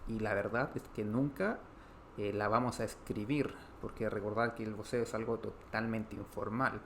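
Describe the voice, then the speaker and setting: A man from Chile with a Chilean Spanish accent, explaining grammar in an online language lesson, pausing often on "eh" and restarting phrases. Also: fairly low pitch, steady tone, creaky, a little hiss or hum. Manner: explanatory, frequent "eh" fillers, repeats and restarts. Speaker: Spanish teacher.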